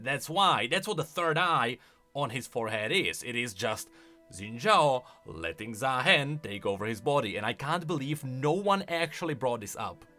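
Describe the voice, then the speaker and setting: Male lore video host, lively swooping intonation, bright voice, casual emphatic commentary, faint music. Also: fairly high pitch.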